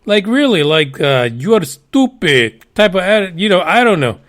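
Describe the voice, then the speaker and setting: A man with a high voice hosts a loose news podcast. He swears freely, cracks himself up and wanders off into rambling asides while reading articles aloud. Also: sing-song, moderate pace, a bit bright. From United States. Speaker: podcast host. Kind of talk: casual news commentary.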